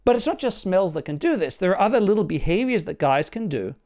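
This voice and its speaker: Earnest fast-pitching Australian man. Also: big pitch swings, a little mellow. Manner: earnest, salesy, keeps asking "right?". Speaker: dating coach. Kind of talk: direct-to-camera promo talk.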